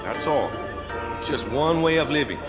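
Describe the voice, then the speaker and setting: An actor playing a blunt, easygoing older guardian in a game audio drama, with colloquial phrasing and tags like "eh". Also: male voice, on the high side, lively intonation, normal speed, close to an everyday voice. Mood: patient explaining. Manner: colloquial, tags sentences with "eh" and "yeah". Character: gruff, easygoing older guardian man, patient but blunt. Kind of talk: acted audio drama dialogue. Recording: music behind the voice.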